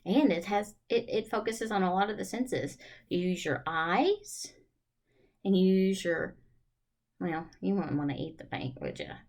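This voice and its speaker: A woman's voice: on the low side, unhurried, darkish tone. A woman leading a children's storytime, reading and chatting in a singsong way and putting questions to her young listeners. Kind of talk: children's storytime read-aloud and chat.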